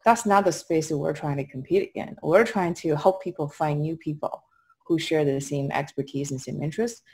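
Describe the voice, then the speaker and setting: A young woman with a Chinese accent speaks in a fairly low voice. She answers as a founder being interviewed, in a conversational way, over an indoor video call. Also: normal speed, a little mellow. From Qingdao, China. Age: young adult. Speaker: startup founder, interview guest. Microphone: video call at desk distance.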